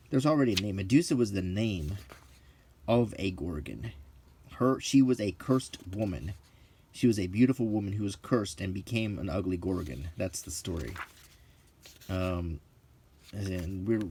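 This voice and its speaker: Middle-aged man, unhurried pace, halting offhand listing, casual unintentional ASMR, slight background noise. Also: sits low, dark tone. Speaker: presenter of a hobby video. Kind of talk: unscripted casual commentary while leafing through books, unintentional ASMR.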